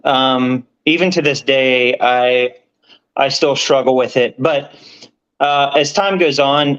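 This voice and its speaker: A man's voice: mid-range voice, fairly bright tone, clear, resonant tone, dull audio. A man as the guest in a casual video-call conversation, speaking in an easygoing way.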